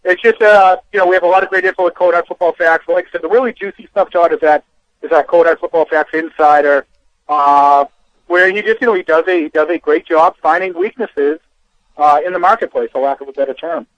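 Boston-accented male betting analyst, high pitch, loose and rambling. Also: fairly quick, fairly bright tone, no room sound, muffled recording. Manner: rambling, full of fillers. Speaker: sports betting analyst guest. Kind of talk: casual radio interview.

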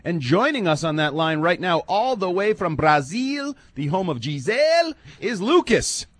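A man hosting a sports radio show, talking casually and with animation, dropping low and quiet when he muses. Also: very animated, normal speed, no room sound.

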